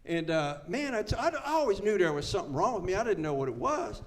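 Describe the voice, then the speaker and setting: A man in his seventies speaks at a recovery meeting with a voice pitched a little higher than most men's. He is folksy and earnest, and he repeats his key lines so they land. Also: big pitch swings, fast. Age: 70s. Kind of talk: personal story told to a live meeting.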